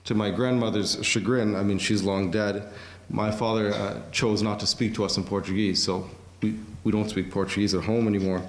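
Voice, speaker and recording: A man delivering a prepared academic conference lecture, measured, with occasional fillers. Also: lowish voice, steady tone. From Canada. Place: museum auditorium. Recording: echoey museum lecture hall.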